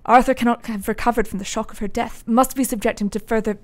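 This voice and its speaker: A woman's voice: a little high, fairly even intonation, fast talker, close to an everyday voice. A woman playing a troubled, sceptical male doctor in a radio drama, speaking in an earnest, articulate, formal way. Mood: reluctant, anxious.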